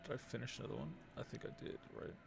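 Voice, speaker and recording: A man's voice: mid-range voice, quick pace, vocal fry, music in the background. A man streaming a video game, giving casual live commentary as he thinks aloud and mutters to himself.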